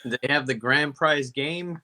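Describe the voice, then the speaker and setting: Bright, forceful man chatting casually. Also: medium pitch, a little slow. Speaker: podcast co-host asking questions. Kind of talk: casual conversation.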